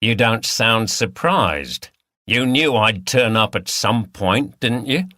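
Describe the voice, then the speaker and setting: Man playing a bright-toned, menacing robber villain. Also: on the low side, animated, slow pace, dry recording, studio quality, full character voice. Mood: coolly menacing. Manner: mockingly polite, threatening. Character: ruthless, menacing male bank robber villain with shotgun.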